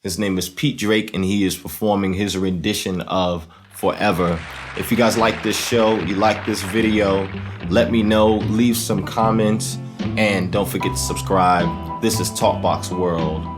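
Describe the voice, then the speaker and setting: Male tutorial host, very low register, casual explainer delivery, conversational with frequent check-ins. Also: steady tone, a little slow, over music. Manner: conversational, often checking in with "right?". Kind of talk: casual instructional demonstration.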